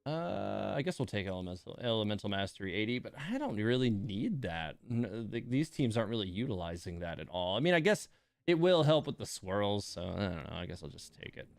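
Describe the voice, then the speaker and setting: A man with a low, smooth voice talks through his gameplay live. He thinks aloud in an informal, easygoing manner, like a streamer chatting to viewers. The sound is close and dry. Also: very animated, fairly quick, no room sound. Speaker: game streamer and host. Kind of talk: casual live gameplay commentary.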